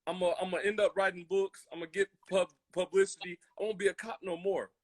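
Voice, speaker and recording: A man with a high-pitched voice and an American accent talks casually on a podcast. He is emphatic and impassioned, repeating phrases for weight and voicing imagined quotes. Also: fairly even intonation, quick pace. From military family, grew up in Germany, Colorado and Hawaii. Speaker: podcast co-host.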